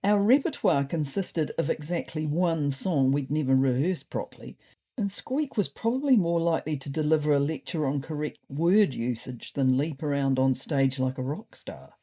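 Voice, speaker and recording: Fretful ginger tomcat reading his diary, done by a woman, dry understatement, mellow husky voice, swoopy pitch. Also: animated, normal speed. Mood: dry, wry. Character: thoughtful, slightly neurotic male ginger cat diarist.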